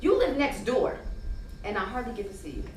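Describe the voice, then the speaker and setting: A woman's voice: fairly high pitch, big pitch swings, fairly quick. A woman playing a brash, loyal street-wise friend in a stage play, slangy and outspoken. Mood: hurt, confrontational. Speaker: stage actor. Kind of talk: live 1990s-set stage play dialogue. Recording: distant, echoey, noisy stage recording.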